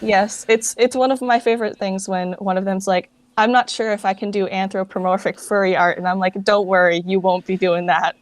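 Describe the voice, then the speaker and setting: A woman's voice: medium pitch, fairly even intonation, brisk, few pauses. A woman chatting informally as an interview guest, peppering her talk with "like". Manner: informal, filler-heavy with frequent "like". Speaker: guest, fan project director. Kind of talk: casual interview chat.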